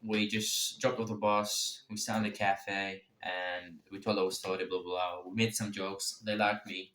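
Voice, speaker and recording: A man's voice: low voice, flat delivery, unhurried, fairly bright tone. A young man casually telling a long personal story, rambling, swearing often and repeating himself. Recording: echoey room with faint noise.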